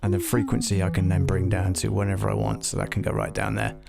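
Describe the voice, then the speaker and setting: Male audio tutor for a casual live demo: slightish low pitch, gravelly creak, halting think-aloud delivery. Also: little pitch movement, over loud music. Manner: halting, thinking aloud, muttering test counts. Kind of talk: casual live software demonstration, troubleshooting aloud.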